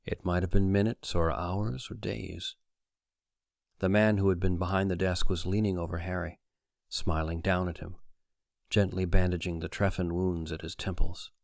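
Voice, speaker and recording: A man's voice: deep voice, level tone, normal speed, creaky, no room sound, clean studio sound. A man reading a story aloud as an audiobook narrator, in dramatic scripted narration.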